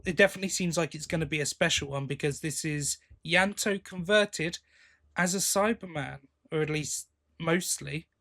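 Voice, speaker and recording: A man with a slightly high voice talks as a podcast co-host. His delivery is casual and rambling, with hesitations and little questions tacked onto the ends of his thoughts. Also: moderate pace, a bit bright. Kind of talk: casual chat about audio drama releases.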